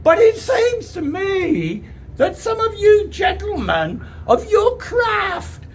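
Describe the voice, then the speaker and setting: A woman voicing a bearded Victorian male author who rants in furious, booming oratory from a podium. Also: wildly swooping pitch, slow, full character voice. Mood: ranting.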